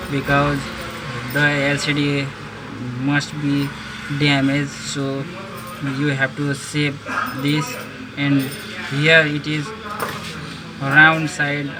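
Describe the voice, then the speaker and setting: Slow, flat-voiced Nepali man. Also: a little high. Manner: halting non-native English, step-by-step instructions. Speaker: phone repair vlogger. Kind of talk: repair tutorial. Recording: loud background noise.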